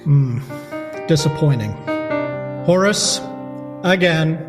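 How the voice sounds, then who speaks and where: A man's voice: a little high, expressive, slow pace, in a large hall, over music. An actor playing a cold, condescending male asylum doctor with formal, precise diction. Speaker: asylum alienist.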